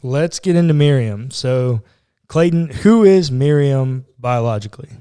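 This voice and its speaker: A man's voice: mid-range voice, lively intonation, unhurried, dark tone, clear, resonant tone, dry recording. A man co-hosting a podcast as a pastor, chatting casually about the Bible with informal, slangy asides. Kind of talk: casual conversational Bible discussion.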